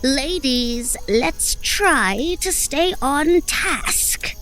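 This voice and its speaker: A woman plays the solemn, long-suffering leader of a witches' coven. She speaks very slowly and portentously, with an expressive melody, over faint music in a horror-comedy audio drama. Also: sits high, heavily acted. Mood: strained patience. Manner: portentous, prim, deliberate.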